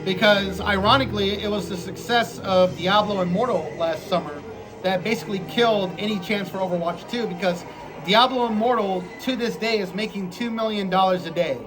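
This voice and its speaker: A man's voice: high-pitched, brisk, music in the background, poor audio. A man chatting casually about games, opinionated and blunt, swearing and repeating his points. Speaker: co-host. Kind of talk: casual gaming chat.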